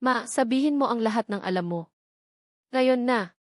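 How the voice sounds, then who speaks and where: A woman's voice: fairly high pitch, normal speed, dry recording, clean studio sound, natural voice. Woman voicing a desperate, determined Filipino man. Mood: urgent, determined. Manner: urgent, demanding. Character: desperate, determined adult son and father.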